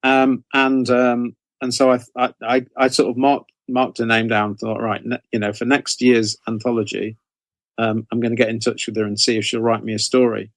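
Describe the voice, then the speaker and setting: A man's voice: mid-range voice, little pitch movement, normal speed, no echo. A man giving casual interview answers in a hesitant way, often repeating and correcting himself as he explains. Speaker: interview guest, author and anthology editor.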